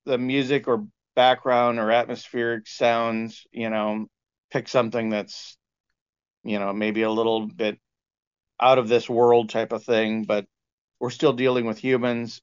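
Smooth-voiced man in a lo-fi interview. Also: mid-range voice. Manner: explanatory, halting, peppered with "you know". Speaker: interview guest, composer and sound engineer. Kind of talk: conversational interview answers. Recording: dull, lo-fi band-limited sound.

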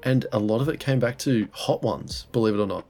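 A man's voice: mid-range voice, fairly quick, a little mellow. A man co-hosting a podcast in casual chat, blocked-nosed with a sore throat, rambling with many restarts and swearing. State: congested with a head cold, sore throat. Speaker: podcast co-host.

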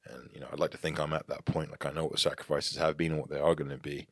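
A low-pitched English man in his thirties speaks earnestly and reflectively as a guest in a relaxed interview. His voice is close and dry, with no room sound around it. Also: very fast, no echo. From England. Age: 30s. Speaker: podcast guest. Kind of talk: casual interview talk.

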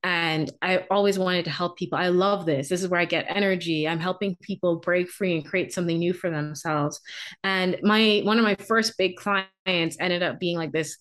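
A woman's voice: fairly low pitch, steady tone, normal speed, fairly bright tone. A woman with a light Bahamian accent, a coach telling her story candidly on a podcast, often restarting her sentences. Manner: candid, repeats and restarts, laughs. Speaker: career and business coach, guest.

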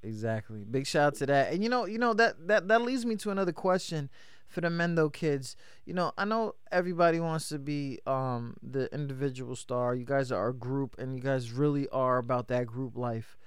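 A man hosting a casual hip-hop radio interview, with a high voice and a loose, hyped manner full of shout-outs. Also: normal speed, clean tone, no room sound, studio quality. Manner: loose, hype, lots of shout-outs and fillers. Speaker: radio show host.

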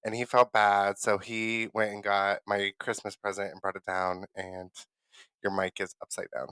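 A man's voice: lowish voice, level tone, normal speed, bright, forceful tone, clear, resonant tone, no room sound. A man co-hosting a podcast in casual chat, rambling and giggly and losing his train of thought, on a close podcast mic in a small home studio. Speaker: podcast co-host.

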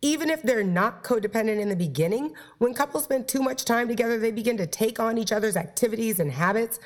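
A woman's voice: a little high, quick pace. A woman hosting a playful, flirty talk show about sex and relationships, her voice stuffed up from a cold. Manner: playful, flirty, talks straight to listeners. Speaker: podcast host.

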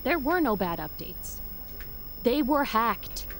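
Higher-voiced, blunt woman. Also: big pitch swings, slow, fairly bright tone, a little hiss or hum, full character voice. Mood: blunt, demanding. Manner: blunt, clipped. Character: blunt, insistent, tech-savvy bereaved young woman. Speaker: actor in audio drama. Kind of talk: audio drama acting.

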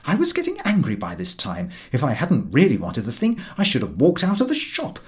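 A man narrating a humorous story as an audiobook reader, in an expressive scripted style. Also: medium pitch, fairly quick.

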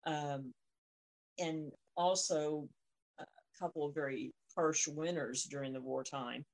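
A woman co-hosting a podcast, speaking conversationally with frequent ums and pauses. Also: low voice, steady tone. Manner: hesitant, lots of ums and pauses. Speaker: podcast co-host. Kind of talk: conversational discussion of folklore.

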